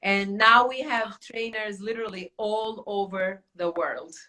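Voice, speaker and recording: Woman host with a Turkish accent, animated and quick to laugh, bright tone. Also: mid-range voice. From Turkey. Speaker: academy owner and podcast host. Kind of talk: casual podcast chat.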